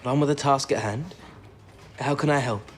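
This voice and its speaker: An actor playing an amiable, wry colleague of codebreakers in a wartime drama. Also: male voice, medium pitch, normal speed, natural voice. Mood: affable, curious. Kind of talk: period audio drama acting.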